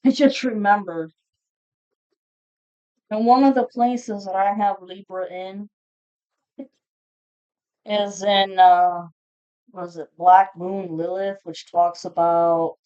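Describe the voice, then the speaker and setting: A woman's voice: mid-range voice, fairly even intonation, takes it slowly, pauses often, a little mellow, clean tone, lo-fi sound. A woman giving a casual, unscripted tarot reading to viewers, speaking in a blunt, informal, foul-mouthed manner. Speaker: tarot reader hosting a zodiac reading.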